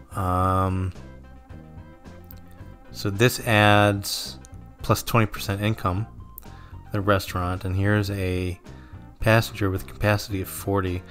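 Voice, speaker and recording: Male let's-play commentator, lowish voice, laid-back think-aloud delivery, mildly sore throat, faint music bed. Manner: relaxed, thinking aloud with frequent ums and self-corrections. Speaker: gameplay commentator.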